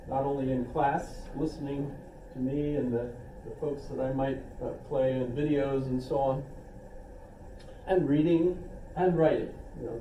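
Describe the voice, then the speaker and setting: A man's voice: a little high, dark tone, slight background noise. Rambling older man lecturing in an echoey classroom. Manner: rambling, self-deprecating, with asides and restarts. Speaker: philosophy instructor. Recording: echoey classroom recorded from a distance.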